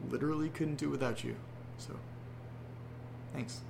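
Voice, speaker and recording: A man's voice: fairly high pitch, steady tone, moderate pace, gravelly, heavy background noise. A man playing a young guy in a horror audio drama who talks sarcastically to his listeners and rambles with fillers. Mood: sarcastic. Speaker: narrator and protagonist.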